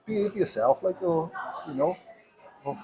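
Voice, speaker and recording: A man with a fairly high voice, talking in a laid-back, rambling way full of filler words. Café chatter carries loudly behind him. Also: animated, moderate pace, low-quality recording. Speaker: show co-host. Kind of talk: casual chat.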